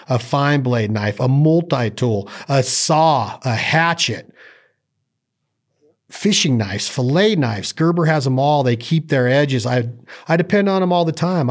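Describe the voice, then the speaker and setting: A man hosting a podcast in a rambling, joking, folksy way, drifting into ad reads. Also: medium pitch. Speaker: podcast host. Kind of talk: casual podcast chat and ad reads.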